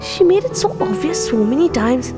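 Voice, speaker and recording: An actress with an Indian English accent playing a young woman remembering an old friend, musing softly to herself. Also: high voice, big pitch swings, moderate pace, over music. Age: young adult. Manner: soft, musing inner monologue. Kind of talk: audio drama acting with inner monologue.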